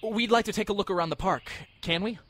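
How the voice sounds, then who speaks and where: A man's voice: sits high, fast talker, background noise, close to an everyday voice. A man voicing a wry, slightly flustered young defense lawyer with a dry, hesitant delivery in an audio drama of a video game story. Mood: inquiring.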